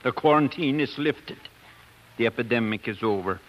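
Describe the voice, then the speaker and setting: An actor playing a steady, encouraging colleague of a scientist, earnest and firm, in 1940s radio drama style. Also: male voice, medium pitch, normal speed, dull audio, natural voice. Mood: earnest, reassuring. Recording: old 1940s radio recording.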